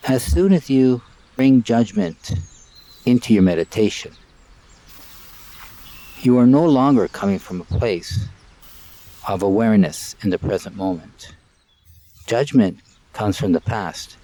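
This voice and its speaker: A man leading a live guided meditation in a calm, measured way, in short phrases with long pauses, outdoors. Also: on the low side. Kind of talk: live guided meditation, spoken softly and unscripted. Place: outdoors by water and trees.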